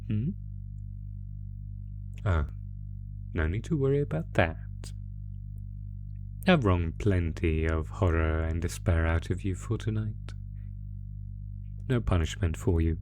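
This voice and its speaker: A low-voiced male monster, acted by a man, drawls cruel taunts to captive prey. The delivery is theatrical and unhurried, in the style of an ASMR horror roleplay. Music plays clearly beneath the voice. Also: animated, full character voice. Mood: mocking, toying.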